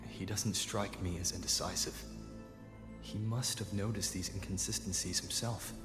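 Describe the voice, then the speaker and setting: A man voicing an earnest low-voiced young adventurer, echoing. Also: fairly even intonation, lightly acted. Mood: pondering. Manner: measured, pondering aloud, articulate. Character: earnest, thoughtful young male adventurer piecing clues together. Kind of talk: video game voice acting, story dialogue. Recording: echoey hall reverb, music behind. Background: game music.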